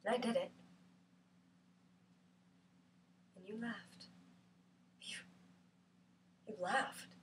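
A woman performing a theatrical monologue as a lonely, bitter woman who feels ugly, with an intense, halting delivery. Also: mid-range voice, fairly bright tone, distant mic, a little hiss or hum. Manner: intense, halting, swinging from whisper to outcry.

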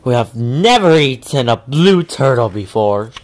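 A woman voicing a menacing, hungry shark in a children's storybook, slow and sinister. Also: rumbling low, sing-song, darkish tone, exaggerated voice.

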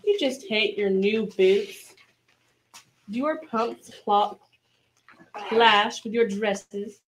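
A woman's voice: mid-range voice, very slow, poor audio. A woman playing a sponsor's announcer in a mock radio advertisement within an amateur play. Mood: salesy. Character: radio sponsor announcer pitching a shoe shop.

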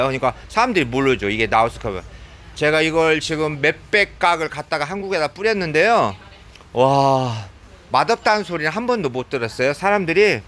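A man with a cold, chatting casually as he films himself shopping in a convenience store, filling pauses with "yes". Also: a little high, bright, forceful tone. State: has a cold. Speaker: vlogger. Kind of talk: casual running commentary while shopping.

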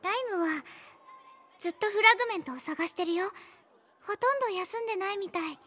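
A woman voicing a sweet, anxious young schoolgirl in a Japanese video game, with a gentle, halting, cutesy delivery. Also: very high voice. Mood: anxious, pleading.

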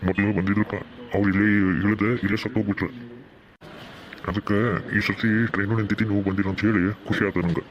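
A dull, noisy recording carries a man acting a morbid old villager in a cartoon. He has a low voice and a North Karnataka Kannada accent, and sounds ominous yet matter-of-fact. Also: little pitch movement, fast, close to an everyday voice. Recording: muffled low-fidelity track with background noise.

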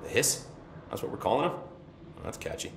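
A wisecracking security chief. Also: male voice, on the low side, big pitch swings, brisk, creaky, noisy background, exaggerated voice. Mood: wry. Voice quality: chuckling, wisecracking. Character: young male security chief, wry, jokey. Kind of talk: video game cutscene. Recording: echoey room.

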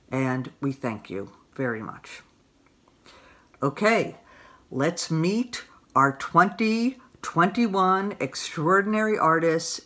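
Grateful woman presenting awards. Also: low-pitched. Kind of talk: scripted presentation to camera. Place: small room indoors.

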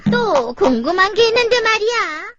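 A woman playing a kindly, inquisitive old grandmother in a children's cartoon, with gentle elderly phrasing. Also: very high voice, big pitch swings, normal speed. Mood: curious. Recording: muffled audio under loud music.

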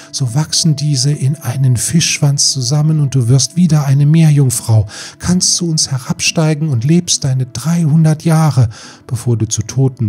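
Man acting as mermaid sister, storybook, insistent, hurried, pleading, raised pitch, faint music. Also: a little mellow, breathy edge. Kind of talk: fairy tale audiobook dialogue.

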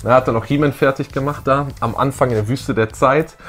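A man's voice: medium pitch, fairly even intonation, brisk, a bit bright. A middle-aged man co-hosting a retro review show in casual, unscripted chat. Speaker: co-host of retro audio play review show. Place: small indoor room full of posters.

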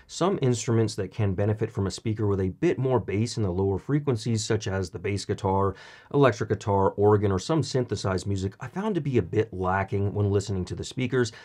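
Low-pitched man narrating a review. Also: a little mellow. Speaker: product reviewer and channel host.

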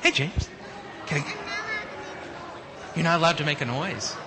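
A man's voice: on the high side, expressive, a little slow, crowd noise. A male pastor leading worship and chatting playfully with children, often stopping and restarting his phrases. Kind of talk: church service leading and children's sermon. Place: church sanctuary. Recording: church sanctuary mic with long hall reverb and faint background noise.

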